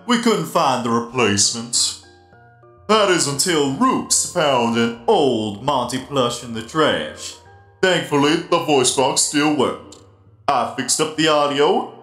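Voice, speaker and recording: An unhurried, theatrical robot DJ character from a comic dub, acted by a performer. The pitch swoops around in an animated way, and the delivery is polite and drawn out. It sits in an echoey space with faint music behind. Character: friendly, flustered music-loving animatronic DJ robot.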